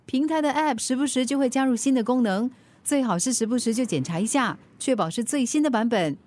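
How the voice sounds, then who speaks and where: A woman's voice: fairly high pitch, a little fast, a little mellow, natural voice. A woman acting a cheerful, helpful food delivery rider in a radio drama, chatty, with a Singaporean accent and English words mixed in. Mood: upbeat.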